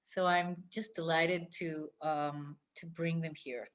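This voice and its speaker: An older woman from Israel giving an informal, personal lesson, speaking reflectively and pausing often mid-phrase. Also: low-pitched, little pitch movement. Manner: reflective, halting delivery with pauses mid-phrase. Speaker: teacher, scholar.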